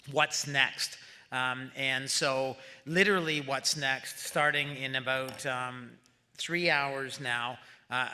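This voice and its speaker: Bright, sharp-voiced man addressing a conference. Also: medium pitch. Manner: hesitant, halting, rallying the audience. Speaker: summit host giving closing remarks. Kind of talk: public closing speech.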